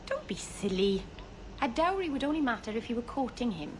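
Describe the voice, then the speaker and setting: An actress playing a bubbly, excitable and teasing young woman in a fantasy video game, with noise behind her. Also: fairly high pitch, brisk. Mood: excited, teasing. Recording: clear background noise.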